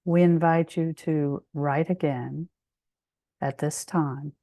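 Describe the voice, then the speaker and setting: Female meditation guide, soothing and very unhurried, mellow tone, close dry sound. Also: deep voice, steady tone, no echo. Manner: soothing, measured, with long pauses.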